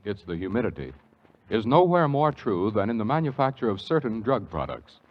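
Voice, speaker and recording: A man reading a scripted radio commercial in a smooth, earnest, measured announcer manner. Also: medium pitch. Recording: dull old radio broadcast.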